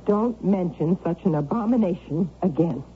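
An actress voices a stern, moralistic aunt in a vintage radio play. She scolds with a pitch that swings widely, heard through a thin, tinny broadcast full of loud hiss and clicks. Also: animated, normal speed, natural voice. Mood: scolding. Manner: scolding, indignant. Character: stern, moralistic older aunt, refusing firmly. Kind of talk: 1970s radio drama acting.

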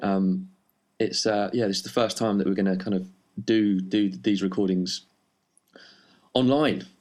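A man hosting an online interview, asking friendly, halting questions full of ums and restarts. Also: on the low side, fairly even intonation, darkish tone. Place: remote online recording.

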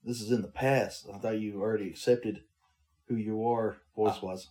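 A man with a lowish voice co-hosts a casual podcast. His tone is mellow and dark, and he teases his partner with dry humor. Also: steady tone, normal speed. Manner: teasing, dry joking. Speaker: podcast co-host. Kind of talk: casual chat.

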